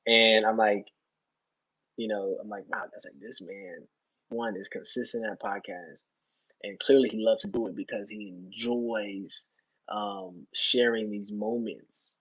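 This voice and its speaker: A man's voice: on the low side, steady tone, unhurried, fairly bright tone, muffled recording. A man speaking as a podcast guest in casual conversation, rambling earnestly and often checking in with "you know". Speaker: interview guest, soccer player.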